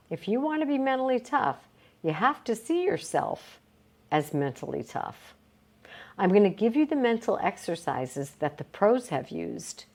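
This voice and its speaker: A woman reading a motivational self-help audiobook aloud in a deliberate, emphatic, coaching way. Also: fairly low pitch. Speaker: audiobook reader.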